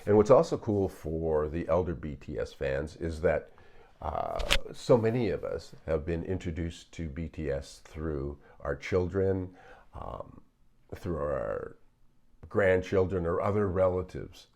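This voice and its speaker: An older man commenting on video clips as a psychologist, in a measured way with frequent pauses and fillers. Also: deep voice, expressive, creaky, mellow, a little hiss or hum.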